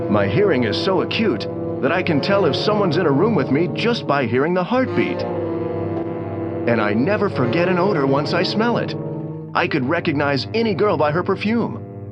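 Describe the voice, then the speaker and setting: An actor playing a calm, self-assured young blind college man, mostly in inner monologue. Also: on the high side.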